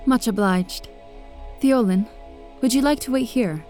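A woman's voice: a little high, a little slow, darkish tone, soft background music, close to an everyday voice. A woman playing a courteous, composed cyborg medic-priest in an audio drama, speaking with polite formality.

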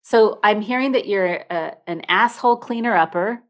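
A woman's voice: medium pitch, moderate pace. A woman hosting an interview, playful and chatty, often breaking off and restarting her sentences. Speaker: podcast host. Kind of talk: casual interview conversation.

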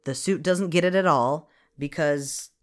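A man's voice: on the high side, a little slow. A man co-hosting a podcast, recapping a movie in casual chat, wry and profane, reading from his notes. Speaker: podcast co-host.

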